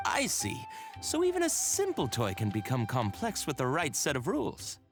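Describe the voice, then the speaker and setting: A woman's voice: extremely low pitch, huge pitch swings, normal speed, over music, heavily acted. A woman voicing a friendly, welcoming academic organizer in a fantasy video game.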